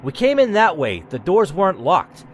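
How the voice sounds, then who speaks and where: A man acts out a scared but level-headed logger in a game story. He sounds earnest and placating, with a fairly high voice that moves up and down a lot, over clear noise. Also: huge pitch swings, normal speed. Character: frightened but level-headed male logger held at gunpoint.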